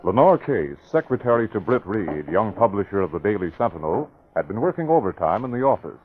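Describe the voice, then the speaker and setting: A man narrating and announcing in dramatic 1940s radio style, booming and theatrical. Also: big pitch swings, brisk. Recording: tinny noisy old radio broadcast.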